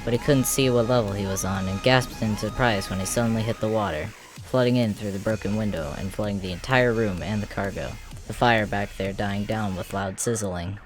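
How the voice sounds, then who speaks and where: Male narrator, lowish pitch, dramatic scripted storytelling, faint music underneath. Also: fairly quick. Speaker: narrator and voice actor.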